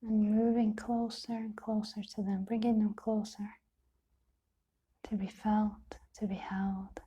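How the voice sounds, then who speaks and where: Very soft, breathy woman guiding meditation. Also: medium pitch, level tone, highs cut off. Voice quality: soft, breathy, half-whispered. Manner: gentle, soothing, long pauses between short phrases. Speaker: meditation teacher. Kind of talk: guided meditation.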